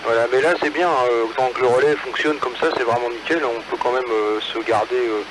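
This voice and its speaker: A man's voice: medium pitch, fairly even intonation, brisk. A man talking casually and colloquially as an amateur radio operator, with hesitations, over a two-way radio from a car. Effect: two-way radio transmission. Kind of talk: casual radio chat between hams. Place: in a car, mobile. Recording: noisy ham radio relay transmission. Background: radio and vehicle noise.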